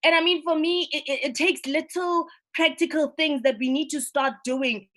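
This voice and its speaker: A woman in her thirties with a high voice hosts a discussion on a video call. She speaks earnestly and with animation, tossing in "you know?" and "right?" as she goes. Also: normal speed. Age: 30s. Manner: earnest, animated, many tag questions. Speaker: roundtable host. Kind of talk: virtual roundtable discussion.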